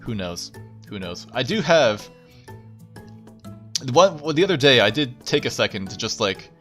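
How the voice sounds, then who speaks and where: A man's voice: medium pitch, sing-song, moderate pace, a bit bright. A young man hosting a livestream, chatting casually in a self-deprecating way and often repeating his phrases. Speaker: VTuber livestream host. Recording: background music. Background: music.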